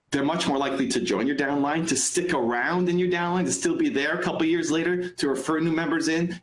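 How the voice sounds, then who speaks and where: Higher-pitched man coaching in an echoey room. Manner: emphatic, repeats phrases for effect. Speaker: business author and speaker giving advice. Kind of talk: motivational business coaching talk.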